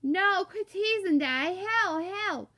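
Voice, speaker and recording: A high, loud, desperate teenage boy, acted by a woman reading a storybook. His words come out mumbled and garbled. He sounds tearful and panicked. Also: animated, slow, exaggerated voice. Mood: frantic.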